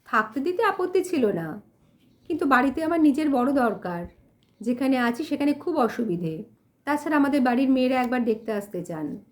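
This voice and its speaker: A woman's voice: high-pitched, lively intonation, moderate pace, pitched above the performer's own voice. A woman voicing a stern, haughty male landlord who talks curtly and commandingly in an audio storybook. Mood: firm. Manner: loud, scolding, commanding. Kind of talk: acted dialogue in audio storybook.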